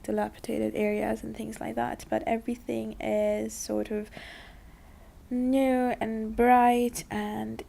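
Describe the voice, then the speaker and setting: A woman's voice: on the high side, gravelly, mellow. A young woman from Dubai, talking casually in an interview, full of likes and you-knows. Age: young adult.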